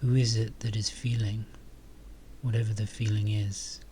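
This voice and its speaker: A man softly leading a guided meditation, calm and unhurried, with long pauses between phrases. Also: fairly low pitch, slight background noise.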